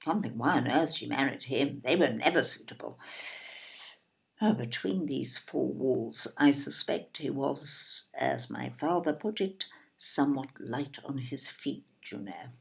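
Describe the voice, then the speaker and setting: A woman's voice: very deep voice, a little slow, creaky, heavily acted. A woman playing a snobbish, opinionated older English upper-middle-class wife in an audio play, with a haughty, disapproving, plummy manner. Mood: scornful, opinionated.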